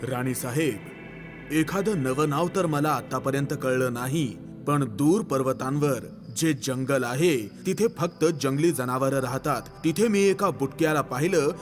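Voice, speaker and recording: A man playing a dutiful fairy-tale soldier, reporting slowly and respectfully to his queen. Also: mid-range voice, faint music behind, close to an everyday voice. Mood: respectful, earnest.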